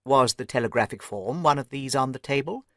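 A man voicing a keen, brisk detective who asks crisp, probing questions. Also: medium pitch, no room sound, clean studio sound, close to an everyday voice.

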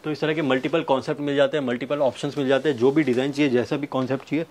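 A man in his thirties giving a sales pitch to camera, listing things with repetitive emphasis. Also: steady tone, very fast, a little mellow. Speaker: fabric manufacturer's representative presenting stock. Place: fabric showroom indoors. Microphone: clip-on wireless lapel mic.

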